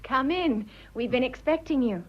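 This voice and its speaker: Poised upper-class English wife, lilting. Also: female voice, high-pitched, lively intonation, moderate pace, dull audio. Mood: sociable, light. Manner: light, coaxing, politely sociable. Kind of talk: stage comedy of manners radio acting.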